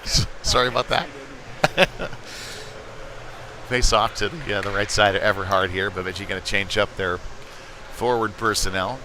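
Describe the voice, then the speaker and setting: A man's voice: lowish voice, moderate pace, pauses often. A man doing live play-by-play of youth hockey in a casual, folksy, slightly hesitant way. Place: ice rink, live stream broadcast. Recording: clear rink noise behind the voice.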